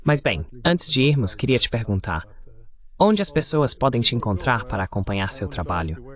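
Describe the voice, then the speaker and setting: Brazilian man hosting, medium pitch. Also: very animated, moderate pace. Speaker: interview host. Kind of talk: translated interview voice-over.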